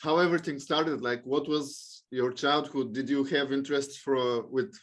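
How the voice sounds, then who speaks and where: A man's voice: mid-range voice, fairly even intonation, normal speed. A man hosting a podcast, chatting loosely with his guest and calling him bro. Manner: loose, chummy, says bro and like. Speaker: podcast host. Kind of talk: casual interview chat.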